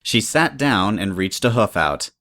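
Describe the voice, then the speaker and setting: Very low-voiced woman narrating a story. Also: lively intonation, unhurried, a bit bright.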